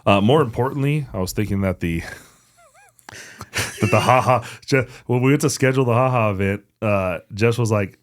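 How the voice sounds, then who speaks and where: A man's voice: fairly low pitch, moderate pace, no echo, studio quality. A man co-hosting a podcast in casual chat, with a blunt, profane way of talking. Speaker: podcast co-host. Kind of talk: casual podcast chat about hunting and events.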